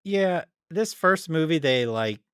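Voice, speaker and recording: A man's voice: fairly high pitch, expressive, takes it slowly, smooth, no room sound, clean studio sound. A man co-hosting a podcast, giving a casual review in an easygoing, plain-spoken way. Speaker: podcast co-host.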